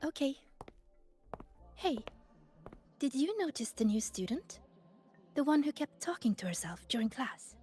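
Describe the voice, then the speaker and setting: An actress playing a young college student chatting softly with a classmate in a video game. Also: female voice, sits high, big pitch swings, measured pace, long pauses. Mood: curious. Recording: music under the voice.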